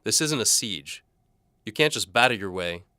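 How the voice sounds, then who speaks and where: A man's voice: on the low side, lively intonation, moderate pace, bright, forceful tone, smooth, no echo, clean studio sound, voice pushed up. A man playing a stern, exasperated small-town sheriff in a medieval audio drama, with music behind him. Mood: stern warning. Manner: exasperated, warning.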